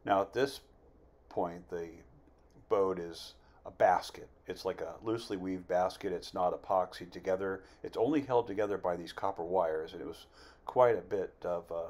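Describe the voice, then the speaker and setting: A man in his fifties giving a casual, plain-spoken, unhurried voice-over as an amateur builder narrating his project. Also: low voice, a little mellow. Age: 50s.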